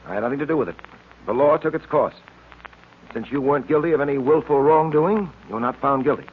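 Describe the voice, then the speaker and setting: A man's voice: fairly high pitch, a little fast, natural voice. A man playing an upright, earnest police detective in old radio drama style, speaking in a firm, clipped, fatherly way. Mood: brisk, resolute. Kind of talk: 1940s radio acting. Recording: tinny noisy old radio recording.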